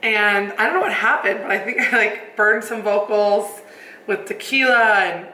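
A young woman co-hosting a podcast, casual and chatty, her voice a little raspy. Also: medium pitch, normal speed, bright, forceful tone. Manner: chatty, laughs often. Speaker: podcast co-host. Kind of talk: casual chat. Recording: echoey room, faint hiss.

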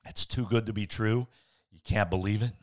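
A man reading a bedtime story aloud as a podcast host, with playful dramatic pauses and casual asides. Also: on the low side, fairly even intonation.

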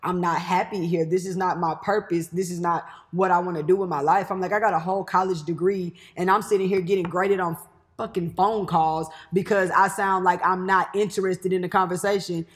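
A co-host in a casual chat with a nonchalant, monotone way of talking. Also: mid-range voice, brisk. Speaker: podcast co-host with a YouTube channel.

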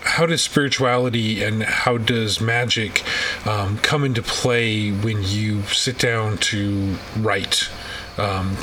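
A man hosting a podcast, talking in a casual, rambling way with halting, hesitant phrasing. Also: fairly low pitch, fairly even intonation. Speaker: podcast host. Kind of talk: casual conversational interview. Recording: distant mic, faint background noise.

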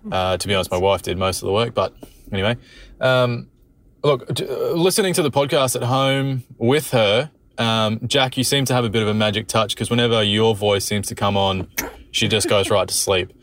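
A man's voice: fairly low pitch, normal speed, a bit bright. An Australian man leaving a friendly, rambling voice message for a podcast, full of ums. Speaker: listener caller. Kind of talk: recorded voice message.

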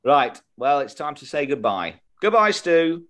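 A man's voice: a little high, sing-song, measured pace, a bit bright. A British man co-hosting a podcast in a casual, jokey, chatty way. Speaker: podcast co-host. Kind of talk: casual comedic chat.

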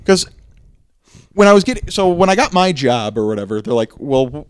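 A man's voice: on the high side, sing-song, brisk, clear, resonant tone, dry recording, studio quality. A man chatting casually as a podcast co-host, rambling with many restarts and trailing asides. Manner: rambling, hesitant, many restarts and agreeing "right"s. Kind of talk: casual conversational chat.

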